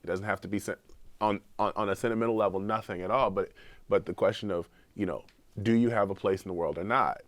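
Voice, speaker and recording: A man's voice: lowish voice, fast talker, no echo. A middle-aged man with a cold, talking earnestly in a podcast conversation and repeating words as he searches for his point. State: has a cold, sniffling. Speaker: guest researcher.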